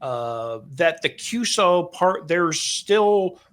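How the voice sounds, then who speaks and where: A man's voice: high voice, expressive, takes it slowly, a bit bright. A man co-hosting a podcast, chatting casually and explaining things with asides. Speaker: co-host.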